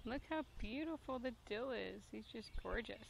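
A woman's voice: fairly high pitch, animated, moderate pace, vocal fry. A woman in her thirties giving a casual garden tour, talking into a handheld phone outdoors. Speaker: gardening vlogger. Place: outdoors in a backyard vegetable garden. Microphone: handheld phone at arm's length. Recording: noisy outdoor phone recording.

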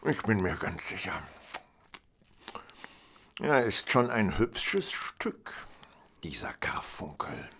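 An actor playing an assured, keen-witted gentleman detective in an audio drama, speaking in a theatrical, deliberate way. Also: sits low, big pitch swings, whispered, heavily acted. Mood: musing, fascinated. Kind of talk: German audio drama acting.

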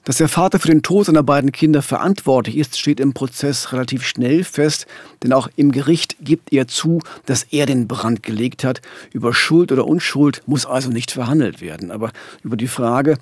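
Husky-edged man explaining. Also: medium pitch, moderate pace, dry recording. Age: middle-aged. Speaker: true-crime podcast host. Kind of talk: explanatory scripted podcast narration. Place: small dark podcast studio. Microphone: close studio microphone with headphones.

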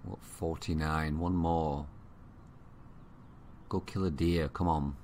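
A man with a Yorkshire accent giving casual, unhurried gaming commentary, thinking aloud to himself. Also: very deep voice, steady tone, a little mellow, slight background noise. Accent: Yorkshire English. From Yorkshire, England. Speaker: gamer commentating own play. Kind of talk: casual let's play commentary. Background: strategy game ambience.

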